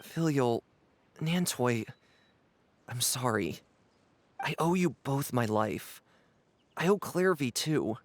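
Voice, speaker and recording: A dutiful young man in a video game, torn and apologetic. His medium-pitched voice is regretful and hesitant as he stands by the rules of his house. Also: lively intonation, takes it slowly, pauses often, a little hiss or hum.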